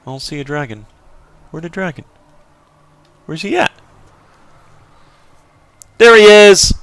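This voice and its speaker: Name unknown, smooth-voiced young gamer. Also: male voice, high-pitched, lively intonation, takes it slowly, a bit bright. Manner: rambling, with many fillers and sudden outbursts. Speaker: gameplay video host. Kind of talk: casual let's play commentary.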